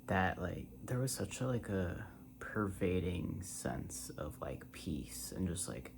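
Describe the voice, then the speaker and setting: A man in his twenties rambling casually in a soft-spoken ASMR style, drifting between a breathy murmur and a half-whisper. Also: sits low, very animated, slow pace. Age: 20s. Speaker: ASMR creator.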